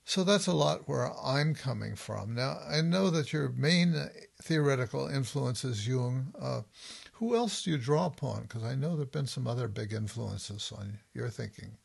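A man hosting an interview, talking in a thoughtful, halting way with frequent restarts. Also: medium pitch, lively intonation, creaky, husky. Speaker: podcast host. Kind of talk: conversational interview.

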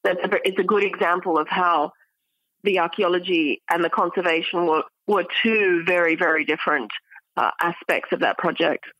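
A woman speaking as an academic guest in an interview, explaining things in a measured, scholarly manner. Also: fairly low pitch, no echo, lo-fi sound. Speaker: guest academic, archaeology professor.

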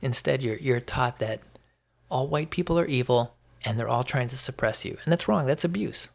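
An American man giving an unscripted opinion monologue as a podcast host, speaking emphatically and haltingly, often repeating phrases for effect. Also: mid-range voice, lively intonation, vocal fry.

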